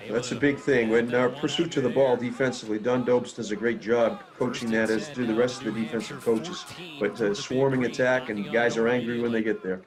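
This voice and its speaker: An older man, a football coach, giving halting, casual commentary over game footage with many fillers. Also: mid-range voice, steady tone, crowd noise, poor audio.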